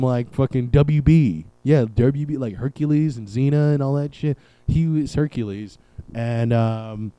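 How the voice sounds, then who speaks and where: A man's voice: mid-range voice, dark tone, no echo, low-quality recording. A young man hosting his own podcast alone, riffing in a sarcastic, rambling way with plenty of profanity. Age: young adult. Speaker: podcast host. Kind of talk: solo comedic podcast rant. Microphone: camera and podcast mic.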